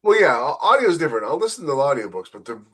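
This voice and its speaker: A man's voice: fairly high pitch, very animated, racing, a bit bright, breathy edge. Casual, wry male author co-hosting a podcast. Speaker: novelist and podcast co-host.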